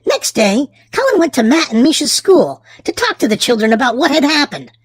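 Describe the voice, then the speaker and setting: High-pitched woman, children's storybook narrator, theatrical emphasis, swooping intonation. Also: big pitch swings, brisk, dry recording. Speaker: children's storybook reader and channel host. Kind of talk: expressive read-aloud narration for young children.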